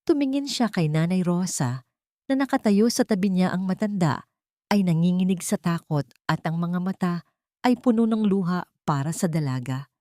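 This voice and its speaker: Lowish-voiced woman narrating a dramatic story. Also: lively intonation, moderate pace, warm tone, no echo, clean studio sound.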